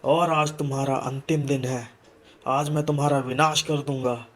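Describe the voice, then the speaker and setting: A man voicing a confident holy man who speaks with unhurried authority in an animated tale. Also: medium pitch, natural voice. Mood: taunting.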